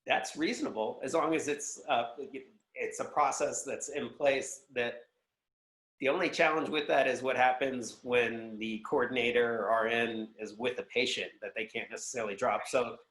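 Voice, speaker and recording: Guy with average pitched voice explaining sales stuff, chatty consultant type, echoey room sound. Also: steady tone, normal speed, a bit bright. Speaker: guest, sales executive and consultant. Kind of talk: conversational business advice. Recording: roomy, echoing voice pickup.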